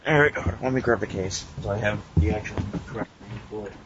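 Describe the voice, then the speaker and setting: Muffled low-voiced guy podcasting. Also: quick pace, heavy background noise. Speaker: podcast host. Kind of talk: casual banter and informal game review. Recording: muffled lo-fi internet call recording.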